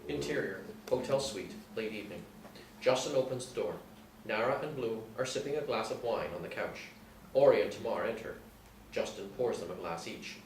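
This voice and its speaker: Echoey, lowish-pitched male narrator. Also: expressive, moderate pace. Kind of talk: reading screenplay action lines. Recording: echoey room, distant mic, faint noise.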